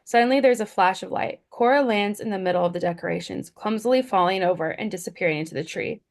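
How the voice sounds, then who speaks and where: Female narrator, medium pitch, reading scene headings and action lines from a screenplay. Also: fast talker. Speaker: stage-direction reader.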